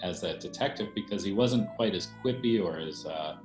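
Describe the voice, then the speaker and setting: A man's voice: sits low, lively intonation, normal speed. A man answering interview questions as a theater director, explaining things conversationally. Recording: roomy echo, faint music.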